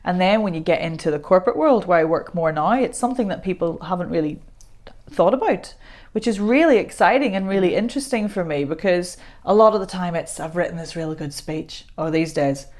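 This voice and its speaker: A woman, a voice coach chatting as a podcast guest, self-deprecating and quick to laugh. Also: lowish voice, a little mellow. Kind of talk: casual podcast conversation.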